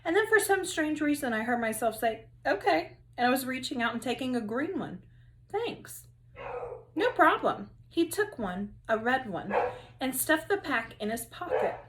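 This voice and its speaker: A woman teacher reading a children's novel aloud in an expressive storytelling manner. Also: on the high side, moderate pace, background noise. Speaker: third grade teacher.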